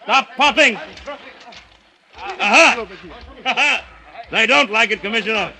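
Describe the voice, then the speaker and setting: An actor playing a bold, stubborn plantation owner who barks orders cockily in an old radio drama. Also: male voice, high-pitched, slow, full character voice. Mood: commanding, fierce. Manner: commanding, cocky, forceful. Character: bold, stubborn male plantation owner commanding his men. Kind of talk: 1940s radio drama acting.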